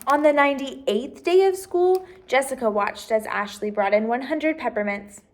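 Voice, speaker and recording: A woman with a fairly high voice reads a children's storybook aloud. She narrates expressively, as a storyteller who draws young listeners in with questions. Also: moderate pace, slight background noise. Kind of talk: children's picture book read-aloud.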